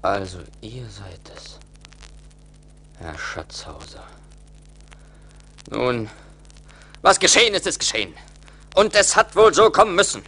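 Sharp, animated cruel husband. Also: male voice, mid-range voice, unhurried, pauses often, breathy edge, full character voice. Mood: cold, uneasy. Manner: harsh, imperious. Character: cruel, hard-hearted rich husband, hot-tempered and scornful. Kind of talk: 1980s radio play acting.

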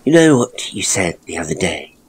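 A man voicing a frail, earnest young woman in a ghost story, hushed and halting. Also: sits low, big pitch swings, breathy edge, heavily acted. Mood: earnest, confessional.

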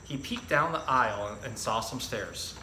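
Bright-voiced man in an echoey room. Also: medium pitch, expressive, normal speed. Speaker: zookeeper presenting an animal storytime. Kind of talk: casual educational talk and picture-book reading for children. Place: indoor room with hard walls and tiled floor. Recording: echoey room, faint background noise.

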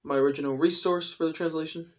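A young adult man explaining things in a casual vlog style, with careful, halting phrasing, recorded indoors at home. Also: fairly high pitch, steady tone. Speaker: video creator. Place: indoors, small room at home.

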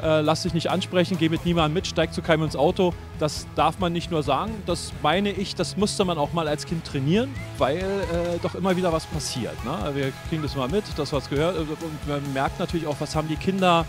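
A man from Berlin explains his self-defence course for children, talking into a handheld mic. He hesitates often and fills gaps, and his voice sits a little high, with music clearly audible underneath. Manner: halting, many fillers. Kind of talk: interview explanation. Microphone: handheld interview mic.

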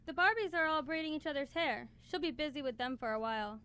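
Woman playing a bright, high-voiced plucky toy. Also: fast. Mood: coaxing. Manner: coaxing, earnest, insistent. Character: kind, persistent, coaxing female toy, youthful and plucky. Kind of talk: student radio play acting. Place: college radio studio, live broadcast. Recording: clear background noise in radio studio.

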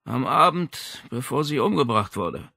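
A man's voice: medium pitch, very animated, unhurried, vocal fry, sharp tone, dry recording, studio quality, full character voice. A man voicing a hearty but sheepish male shopkeeper with an emphatic manner in an audiobook. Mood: embarrassed. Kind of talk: audiobook character dialogue.

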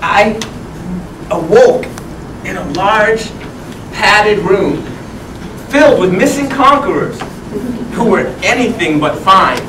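A young man giving a rhyming comic tall-tale speech in a theatrical, projected manner with dramatic pauses. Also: high voice, expressive. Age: young adult. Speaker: contestant speaker. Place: medium banquet meeting room, indoors. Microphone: distant camera microphone. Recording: echoey room, far from mic, noisy. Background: audience noise.

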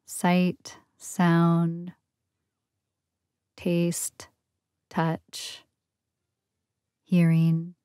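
A woman leading a guided meditation in a soothing, gentle, unhurried manner with long pauses between phrases. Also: on the low side, monotone, clear, resonant tone, no echo, clean studio sound. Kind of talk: guided yoga nidra meditation.

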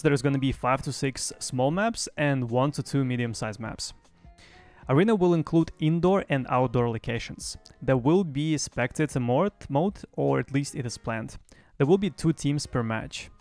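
A man's voice: mid-range voice, a little mellow. A young man presenting a gaming news recap in a semi-scripted style. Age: young adult. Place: small home streaming room. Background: faint music.

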